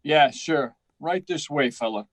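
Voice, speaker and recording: A man's voice: fairly high pitch, expressive, measured pace, fairly bright tone, no echo. A man playing an obliging, slippery hotel house detective in a radio crime play, eager and deferential. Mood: oily, familiar. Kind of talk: old-time radio detective drama acting.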